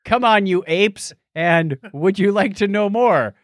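A man co-hosting a podcast in casual, joking banter, playful and quick with quips and asides. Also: high-pitched, dry recording, clean studio sound. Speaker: podcast co-host.

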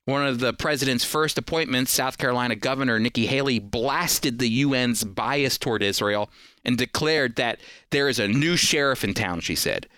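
A man's voice: mid-range voice, moderate pace, bright, forceful tone, smooth, dry recording. An American man hosting a radio program, delivering prepared commentary in a talk show style.

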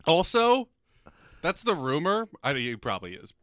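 A young man from North America riffs on a comedy podcast with a bright, lively voice that swings widely in pitch. He rants, swears freely and laughs a lot. The sound is close and dry. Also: moderate pace, clear, resonant tone, no room sound. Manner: animated, profane, laughs often, ranting. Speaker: podcast co-host. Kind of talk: casual comedic chat.

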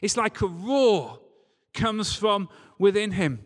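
A man's voice: sits high, big pitch swings, slow pace. A man preaching a sermon to a congregation in an emphatic manner, using rhetorical questions and repetition. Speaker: preacher, church leader. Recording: reverberant hall sound.

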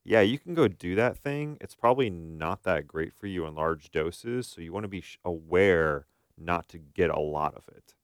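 Very deep-voiced man, casual podcast talk. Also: expressive, moderate pace, clear, resonant tone, dry recording, clean studio sound. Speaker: podcast co-host, therapist. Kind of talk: casual discussion.

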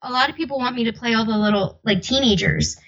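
Chatty woman with a youthful, somewhat high voice; dull home-booth recording. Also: fast, a bit bright. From New Jersey. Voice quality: young-sounding voice. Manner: chatty, laughs often, many fillers. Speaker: audiobook narrator guest. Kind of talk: casual podcast interview.

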